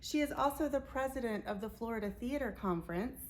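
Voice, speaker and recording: A woman's voice: fairly high pitch, animated, fairly quick. A woman hosting a casual promotional interview, effusive and complimentary as she speaks. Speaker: interviewer and host for a community theater.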